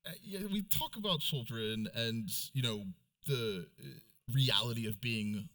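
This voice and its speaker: A middle-aged American man interviewing a guest on stage, easing into his questions in a halting, roundabout way. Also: mid-range voice, pushed and bright. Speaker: interviewer, reporter. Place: on stage before an event audience.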